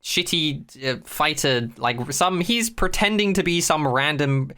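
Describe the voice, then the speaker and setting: A podcast co-host chatting casually about a TV show in an animated, incredulous, sweary way. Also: medium pitch, measured pace.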